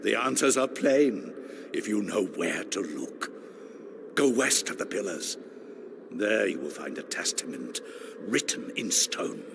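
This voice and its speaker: Old game sorcerer, very slow, swooping intonation, condescending, cryptic, noisy ambient backdrop. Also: male voice, on the low side, sing-song, vocal fry, heavily acted. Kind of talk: dramatic video game acting.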